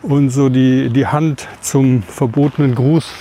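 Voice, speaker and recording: A man's voice: mid-range voice, fairly even intonation, takes it slowly, dark tone, slight background noise. Chatty man telling anecdotes. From southern Hesse, Odenwald. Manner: rambling anecdotal storyteller. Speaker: podcast co-host. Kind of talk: casual conversation.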